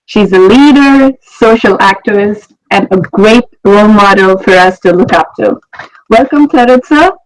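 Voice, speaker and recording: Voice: medium pitch, a little slow, low-quality recording. A co-host gives a plain, friendly introduction of the first speaker at an online event.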